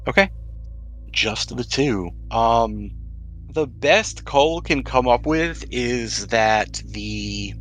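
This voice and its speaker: A man's voice: mid-range voice, lively intonation, slow pace, fairly bright tone, soft background music. A man acting as game master, narrating scenes and explaining rules in a tabletop game.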